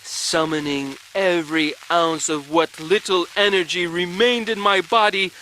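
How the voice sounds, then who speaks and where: An actor playing a melodramatic male adventurer, narrating a perilous tale in florid, drawn-out phrases for a game. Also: high-pitched, steady tone, pushed and bright, rough recording. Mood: desperate, grave. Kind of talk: dramatic first-person narration. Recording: rain and thunderstorm noise behind. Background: rain.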